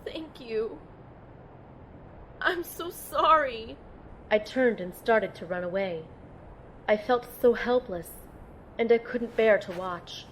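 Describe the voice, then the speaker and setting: A woman's voice: a little high, expressive, slow, pauses often, slight background noise, poor audio. A woman playing an earnest, tender-hearted young heroine who tells her own story in an audio drama. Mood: hesitant, concerned. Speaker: narrator and lead character. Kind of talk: first-person audio drama narration and dialogue.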